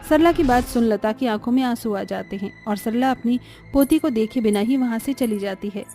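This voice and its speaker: Female narrator for scripted family tales, mellow timbre, raised pitch, quiet music bed. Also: normal speed.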